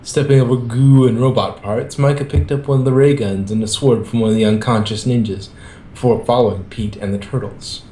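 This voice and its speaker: Casting: male hobby reader, medium pitch, echoey room with faint noise. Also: steady tone, brisk, dark tone. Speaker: co-reader in a group reading. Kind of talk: amateur fan fiction narration and casual chat.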